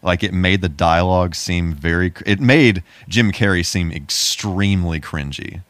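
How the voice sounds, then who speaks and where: A man's voice: low voice, moderate pace, no echo. A man chatting casually as a podcast host, in an informal, rambling way. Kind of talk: casual podcast chat about movies.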